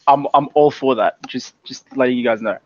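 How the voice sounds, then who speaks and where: A man's voice: mid-range voice, a little fast. Young Australian man, muffled sound. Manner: easygoing, earnest, uses lots of fillers. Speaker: podcast co-host. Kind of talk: casual chat. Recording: dull, slightly muffled with faint hiss.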